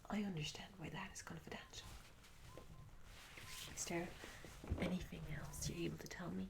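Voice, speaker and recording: A woman playing a curious, polite medieval barmaid in a soft, breathy whispered ASMR roleplay, hesitant and courteous with old-fashioned formal phrasing. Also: on the low side, flat delivery, moderate pace. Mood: intrigued, apologetic. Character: curious, polite young medieval tavern barmaid, eager for tales.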